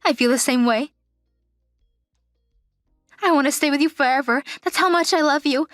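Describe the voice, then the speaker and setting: A woman's voice: high-pitched, normal speed, fairly bright tone, no room sound, clean studio sound, faint music behind. A woman playing a composed, sincere company auditor in a manga dub. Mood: warm, sincere.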